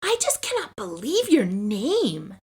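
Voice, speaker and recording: A woman's voice: a little high, huge pitch swings, slow, a little mellow, breathy edge, exaggerated voice. A woman voicing a snooty schoolgirl know-it-all in a children's storybook, taunting with haughty, emphatic delivery.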